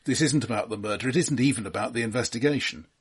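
A man co-hosting a casual film discussion, speaking in a measured, analytical way with halting phrasing. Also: mid-range voice, husky. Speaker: film podcast co-host.

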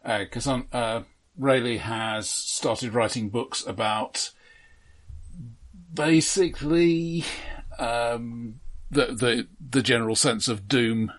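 A man co-hosting a casual film discussion, explaining points in a measured way. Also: mid-range voice. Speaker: podcast co-host.

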